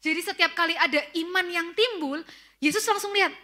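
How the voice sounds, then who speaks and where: A young woman preacher with a Tegal Javanese accent, giving a sermon in a lively storytelling way and speaking straight to the congregation. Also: very high voice, a little fast. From Central Java, Indonesia. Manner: addresses congregation directly, lively storytelling with quoted asides.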